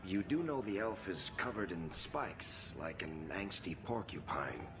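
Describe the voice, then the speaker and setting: A man's voice: deep voice, big pitch swings, a little slow, music in the background. A man acting a roguish, confident, wisecracking friend in a video game, with a wry, teasing delivery.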